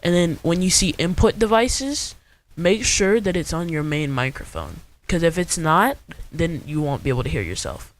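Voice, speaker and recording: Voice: mid-range voice, sing-song, normal speed. A young adult host giving an informal, step-by-step screen tutorial in a casual way. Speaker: video host.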